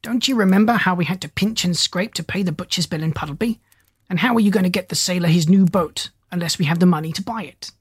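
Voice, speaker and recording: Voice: medium pitch, quick pace, breathy edge, no room sound, lightly acted. A narrator voicing Dab Dab, a fussy, bossy female duck who scolds and bustles. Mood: scolding.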